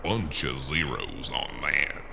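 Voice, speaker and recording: An actor playing a calm, businesslike underworld henchman in a noir audio drama, measured and faintly menacing. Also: extremely low pitch, creaky, background noise, exaggerated voice. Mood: persuasive.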